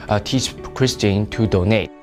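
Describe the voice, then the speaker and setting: A man's voice: lowish voice, unhurried, loud music behind the voice. Hesitant man from China. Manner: hesitant, repeats words. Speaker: student. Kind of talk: recorded personal statement.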